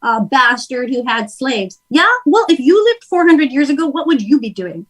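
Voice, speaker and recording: A woman's voice: high-pitched, animated, normal speed, fairly bright tone. A woman with a Canadian accent chatting casually and giving her opinions in a blunt, emphatic way. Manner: blunt, emphatic, mildly profane. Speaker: guest on a livestream talk show. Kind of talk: opinionated casual political chat.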